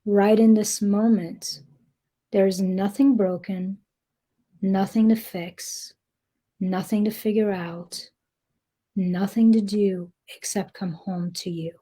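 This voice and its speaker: A woman guiding a meditation in a soft, breathy, gentle voice, speaking deliberately with long pauses. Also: mid-range voice, steady tone. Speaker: meditation guide. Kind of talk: guided meditation, slow soothing narration.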